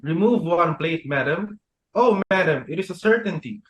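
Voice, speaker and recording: A man's voice: fairly high pitch, expressive, normal speed, fairly bright tone, rough recording. A young man reading the part of a deferential butler, polite and formal. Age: young adult. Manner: polite, formal, addresses madam. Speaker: reader for Dunham. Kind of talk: student dramatic reading.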